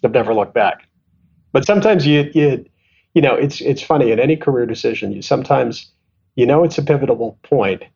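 Husky-voiced man telling career stories. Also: mid-range voice, expressive, a little fast, darkish tone, lo-fi sound. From Canada. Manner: thoughtful, self-deprecating, frequent restarts and fillers. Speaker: asset management executive, guest. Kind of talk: conversational interview storytelling.

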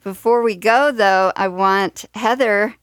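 A woman's voice: mid-range voice, slow pace, no room sound. A woman presenting a radio show, introducing and wrapping up segments in a prepared host's delivery. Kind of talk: scripted radio hosting.

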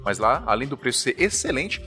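A man's voice: medium pitch, very animated, fairly quick, bright, forceful tone, faint music behind. A man with a Brazilian accent hosting a podcast in a casual, slangy, jokey chat. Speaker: podcast host.

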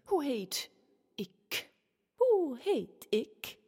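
A woman's voice: high voice, big pitch swings, very slow, dark tone, full character voice. A woman playing a cheerful, youngish fairytale witch in a family radio play, chanting and repeating her lines theatrically. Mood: curious, probing. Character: younger-than-expected witch of a sweets house, cheerful, gentle. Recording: long hall-like reverb on voice.

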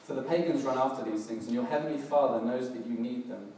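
A middle-aged man with a slightly hoarse, crackly voice, preaching a casual church sermon in a relaxed, self-deprecating way with many fillers and restarts. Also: mid-range voice, fast. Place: church hall, in front of a congregation. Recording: distant, very echoey hall with background noise.

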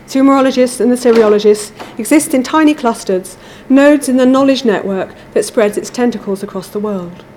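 A woman giving a measured, formal academic lecture from a prepared text. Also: fairly high pitch, a little mellow, a little hiss or hum. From England.

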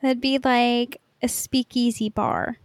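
A woman's voice: on the high side, fairly even intonation, slow pace, dry recording. A woman co-hosting a podcast in casual pop-culture chat, informal and enthusiastic. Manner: informal, enthusiastic, swears freely. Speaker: podcast co-host.

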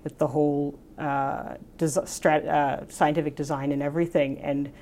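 A woman's voice: low voice, little pitch movement, moderate pace, warm tone, slight background noise. A woman scientist answering interview questions, explaining her work eagerly with many "you know"s. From United States. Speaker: scientist, professor.

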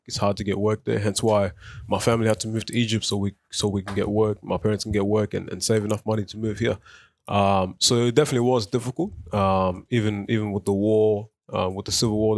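A young man from South Sudan speaks as a guest on community radio. His voice sits low, and he answers thoughtfully, pausing on fillers and restarting his sentences. The studio sound is close and dry. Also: no room sound. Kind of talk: radio interview, personal story.

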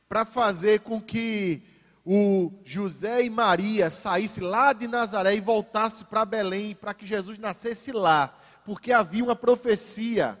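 Brief: male Brazilian pastor, high voice, sermon delivery with wry asides, hall reverb. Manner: varies pace for emphasis, ironic asides to the congregation. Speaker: preacher.

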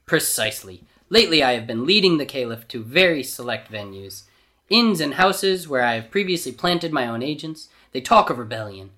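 A man's voice: medium pitch, sing-song, normal speed, pushed and bright. A man acts out a villainous Grand Vizier from an adventure storybook. He is pompous and menacing, with cold deliberation and widely swinging theatrical delivery. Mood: smug scheming.

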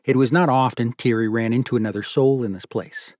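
A man narrating literary fiction from a script in a measured, deliberate storytelling manner. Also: mid-range voice, steady tone, a little mellow, no echo, clean studio sound. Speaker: audiobook narrator.

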